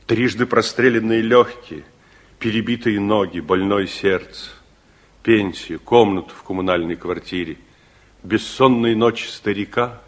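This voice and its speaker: An actor playing an elderly, earnest war veteran reminiscing in a theatrical, declamatory 1960s radio-play style with deliberate pauses. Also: male voice, on the low side, lightly acted. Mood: wistful, resolute. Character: elderly reflective war-veteran grandfather looking back on his life. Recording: 1960s radio drama recording with music.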